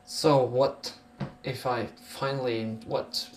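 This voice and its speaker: A man's voice: medium pitch, little pitch movement, slow, reverberant, a little hiss or hum. A man with a Norwegian accent talking casually in an interview, musing in a rambling, sweary way. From Norway.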